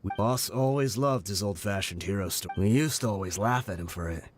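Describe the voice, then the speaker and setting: An actor playing a grave, loyal, vengeful mercenary in a video game, speaking in a solemn, measured way. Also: male voice, on the low side, soft background music, natural voice. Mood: regretful. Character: grave, loyal mercenary sworn to avenge his boss. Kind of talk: dramatic video game acting.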